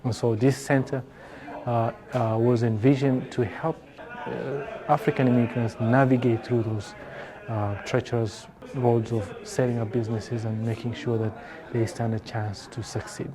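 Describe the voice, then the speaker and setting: A man's voice: medium pitch, normal speed, a crowd in the background. A man speaking for a business development center in an interview, hesitating often with fillers. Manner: flat, hesitant with many fillers. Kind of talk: interview talk.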